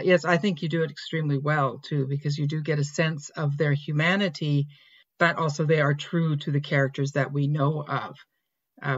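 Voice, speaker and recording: Woman podcast host on slightly dull audio. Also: sits low. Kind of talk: interview conversation.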